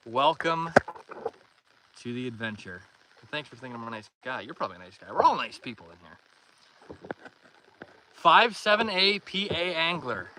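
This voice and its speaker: A man with a Canadian accent chats casually as a livestream host, reading out and answering viewer comments in a friendly, welcoming way. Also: a little high. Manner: friendly, welcoming, thanks and greets viewers by name. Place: outdoors in a canoe on a pond. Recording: noisy outdoor recording with water and canoe sounds.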